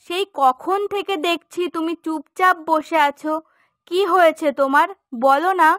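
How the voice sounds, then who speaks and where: A woman's voice: very high voice, unhurried, fairly bright tone, no room sound, clean studio sound. A woman voicing a young, affectionate and outspoken village daughter in a cartoon moral story, coaxing and pert. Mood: concerned, curious.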